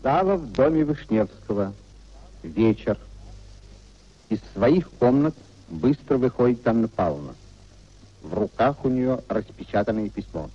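A man's voice: mid-range voice, animated, measured pace, pauses often, husky. A man reading stage directions as the narrator of a 1950s radio play. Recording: dull 1950s radio drama recording.

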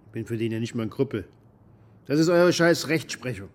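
An actor playing a bitter, maimed former military contractor who rambles coarsely and defensively in a naturalistic radio drama monologue. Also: male voice, mid-range voice, expressive, a little fast, natural voice. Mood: resentful. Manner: coarse, defensive, agitated rambling with rhetorical questions.

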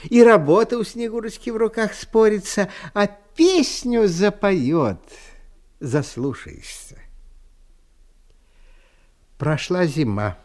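A man narrating a fairy tale for an audio storybook with expressive, dramatic, unhurried phrasing. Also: high-pitched.

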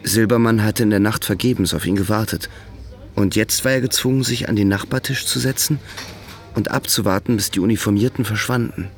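Low, creaky male actor as literary narrator. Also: brisk, a little hiss or hum, close to an everyday voice. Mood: measured. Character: sober male narrator of a literary radio play.

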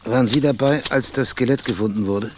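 A man's voice: medium pitch, a little fast, noisy background, lightly acted. An actor playing a dry, sardonic male private detective in a radio crime drama, speaking laconically and wryly. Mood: dry, inquiring. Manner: laconic, wry, probing questions.

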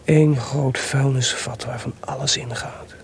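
A man's voice: medium pitch, fairly even intonation, measured pace, creaky, a little hiss or hum. A man playing an anxious, frustrated court composer in a radio drama, agitated and hesitant in manner. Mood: bitter.

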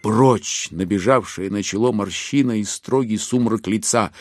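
A male narrator with a lowish voice delivers literary prose in a theatrical, declamatory way. He takes his time with long pauses, and his intonation rises and falls widely over faint music. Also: no room sound.

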